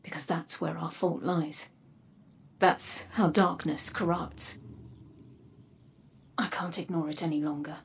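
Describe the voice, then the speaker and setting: An actress playing a grave, guarded woman warrior in a video game, measured and solemn, her breathy voice dropping toward a whisper. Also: low-pitched, fairly even intonation, slight background noise, heavily acted. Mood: resolute, serious. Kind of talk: dramatic video game acting. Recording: game music underneath. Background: music.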